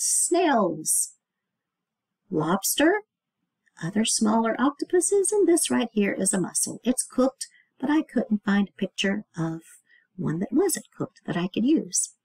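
A woman's voice: mid-range voice, gravelly, slightly rough, no echo. A woman reading aloud and explaining to young children as a teacher, stressing key words and putting questions to the listener.

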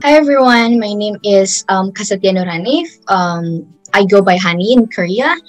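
A young woman from Indonesia introducing herself slowly and carefully. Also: mid-range voice, animated, no echo, faint music behind. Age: young adult. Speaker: interviewee, student.

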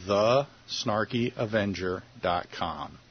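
A man's voice: fairly low pitch, animated, takes it slowly. A man who hosts a podcast, reading a scripted promo in a tongue-in-cheek manner. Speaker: podcast host. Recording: lo-fi promo under loud music.

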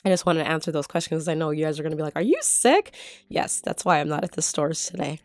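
A woman's voice: low-pitched, quick pace, no room sound. A middle-aged woman with a cold and a congested voice, chatting through a casual step-by-step gift-basket tutorial at home. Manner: chatty, explains step by step with fillers. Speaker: couponing vlogger. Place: home, filming a tabletop close-up.

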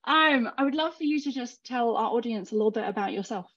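A woman's voice: on the high side, lively intonation, fast talker, a bit bright. A woman hosting an interview over a video call with a headset, moving from scripted introductions into easy conversation. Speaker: host. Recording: slightly dull video call audio.

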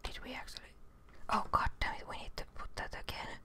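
A young woman whispering ASMR commentary over a video game, halting as she muses to herself. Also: bright, forceful tone. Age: young adult. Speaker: gaming ASMR host.

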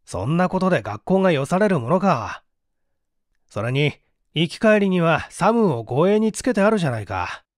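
A man plays a gruff husband in a storybook reading. He is blunt and dismissive, with animated pitch and a dry, close sound. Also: normal speed, no echo. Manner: blunt, brusque masculine speech.

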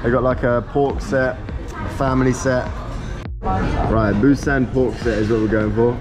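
English guy vlogging his dinner, chatty, mid pitch, music playing under him. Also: a little slow. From England. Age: young adult. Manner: chatty, rating food as he goes. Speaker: food vlogger. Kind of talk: casual vlog narration. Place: busy restaurant indoors.

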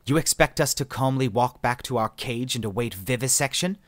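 A man's voice: medium pitch, brisk, no echo, voice pushed up, close to an everyday voice. A man voicing a defiant alien captive woman. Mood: defiant, indignant. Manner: snapping, indignant. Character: defiant furry alien female, sharp-tongued captive.

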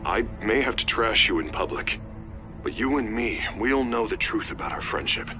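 An actor playing a smooth but nervous politician in a video game, hesitant and persuasive. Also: male voice, on the low side, lively intonation, moderate pace, highs cut off, natural voice. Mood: pleading. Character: smooth, nervous male politician running for office. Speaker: election candidate. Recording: music behind the voice.

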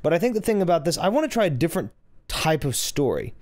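A man with a low voice hosting a casual game playthrough, chatty and rambling, correcting himself as he goes. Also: sing-song, quick pace, darkish tone, no echo, clean studio sound. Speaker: gaming channel host. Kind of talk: casual let's-play commentary.

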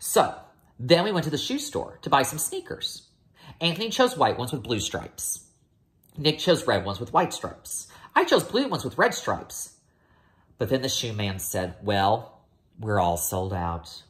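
An American man in his thirties reading a children's picture book aloud in the first person, dramatically, with drawn-out emphasis. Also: mid-range voice, huge pitch swings, fairly bright tone. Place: indoors, a room at home.

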